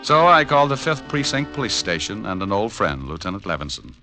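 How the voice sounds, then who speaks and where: A man's voice: on the low side, very animated, fairly quick, over music. An actor playing a cynical, wisecracking male private detective in an old-time radio noir. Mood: wry, weary. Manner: wry, hard-boiled, flippant.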